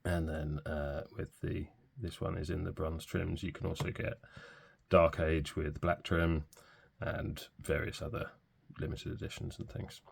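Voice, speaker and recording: A man in his forties talks through a fountain pen review at an easy, halting pace, pausing often on fillers. His voice sits very low with a rough, gravelly edge. He sounds a little bunged up from a cold. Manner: relaxed, hesitant, many fillers. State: stuffy from a cold. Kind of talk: casual product review.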